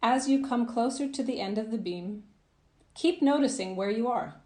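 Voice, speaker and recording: A woman narrating a guided visualisation as a coach, in a soothing, gentle, measured manner with phrases that trail off softly. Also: fairly high pitch, roomy sound. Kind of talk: guided meditation, scripted narration.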